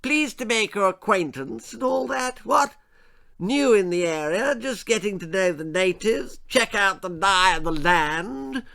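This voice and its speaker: A blustering upper-class English lord from a comic storybook. He booms out clipped, pompous phrases, pitched well up and swinging widely. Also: expressive, unhurried, creaky, sharp tone, exaggerated voice. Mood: blustering, jovial. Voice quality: booming.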